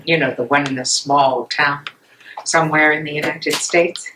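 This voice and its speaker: A woman's voice: sits low, steady tone, moderate pace, a bit bright. A woman playing an emotional young German fiancée of an imprisoned dissident in a dramatic stage reading. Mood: wistful, tender. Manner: emotional, accusing, swings to tender. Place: library meeting room, live reading. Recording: echoey room, noisy with clicks.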